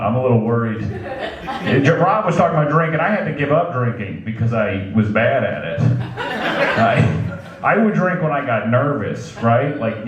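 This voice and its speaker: A man in his thirties doing a stand-up set, loose and self-deprecating, chuckling at his own jokes. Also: mid-range voice. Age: 30s. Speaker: stand-up comedian. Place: comedy club stage. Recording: echoey club with loud crowd. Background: laughing crowd.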